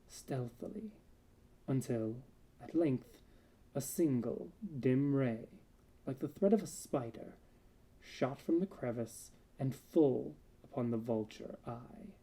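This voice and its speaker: A man playing a gleeful, nervous madman in a dramatic Gothic horror reading, with theatrical, deliberate delivery that swings between emphatic and hushed. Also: mid-range voice, animated, breathy edge, heavily acted. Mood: ominous, stealthy. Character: gleeful, nervous madman recounting his murderous stalking of an old man.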